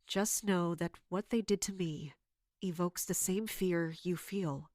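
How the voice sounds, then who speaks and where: A woman playing a wounded, caring but guarded royal guard captain in an audio drama, speaking earnestly and haltingly. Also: on the low side, a little mellow, dry recording, clean studio sound, lightly acted. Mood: pained, reluctant. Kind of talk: dramatic audio fiction acting.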